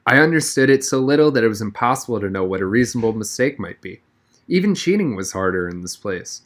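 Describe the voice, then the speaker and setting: A man's voice: fairly low pitch, expressive, quick pace. A man reading a children's novel aloud as a first-person audiobook narrator.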